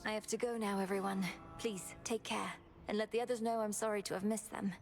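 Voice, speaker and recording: A woman's voice: medium pitch, flat delivery, a little fast, close to an everyday voice. A woman voicing an earnest, determined young goddess princess in a video game, with formal, courteous diction. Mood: polite, determined. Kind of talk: scripted video game dialogue. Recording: music behind the voice.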